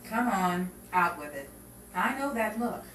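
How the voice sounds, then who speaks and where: A woman's voice: mid-range voice, expressive, measured pace, a bit bright. Woman acting a wry girlfriend, distant and echoey. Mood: dry, patient. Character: wry, level-headed girlfriend working as a bank clerk. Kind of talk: vintage 1950s radio drama acting. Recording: echoey distant mic, noisy with crowd.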